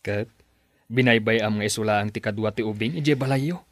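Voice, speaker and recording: A man's voice: lowish voice, a little fast, natural voice. An actor playing an easygoing male friend who consoles and teases, in a radio drama. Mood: consoling. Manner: easygoing, calls his friend bro.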